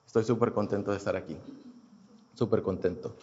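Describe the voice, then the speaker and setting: A man's voice: fairly low pitch, fairly even intonation, brisk, darkish tone, highs cut off. A man in his thirties from Houston, telling his personal faith testimony in a casual, hesitant way with many fillers and restarts. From Houston, United States. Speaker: churchgoer giving a testimony.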